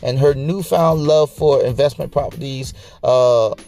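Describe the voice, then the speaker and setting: A man's voice: mid-range voice, a little slow, gravelly, warm tone. A man in his late twenties hosting a casual motivational podcast, talking colloquially to listeners and repeating phrases for emphasis. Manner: colloquial, conversational address to listeners. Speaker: podcast host.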